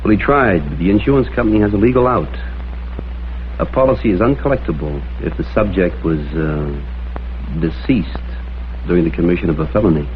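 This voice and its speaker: An actor playing a hard-boiled American private detective, dry and terse, in a vintage crime drama. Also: male voice, deep voice, a little fast, slight background noise, lightly acted. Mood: grave, pointed. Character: hard-boiled male private detective, wry, probing, coolly persistent. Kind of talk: mid-century American crime drama acting and narration. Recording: tinny vintage soundtrack, faint music behind.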